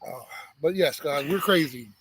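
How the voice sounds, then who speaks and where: A man with a monotone voice co-hosting a casual live-stream chat, joking and rambling with frequent restarts. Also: on the high side, normal speed, husky, no room sound, poor audio. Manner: teasing, rambling, many restarts. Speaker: homestead channel co-host.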